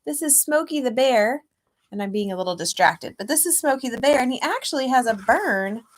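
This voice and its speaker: A middle-aged woman speaking to children as an author at a read-aloud, explaining gently in simple words. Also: high voice, lively intonation, brisk. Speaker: children's author reading own book aloud.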